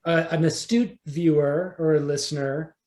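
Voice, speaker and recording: A man speaking as an interview guest, chatting casually and thinking aloud in halting, repeated phrases. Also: on the high side, fairly even intonation, crowd noise. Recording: echoey, roomy sound.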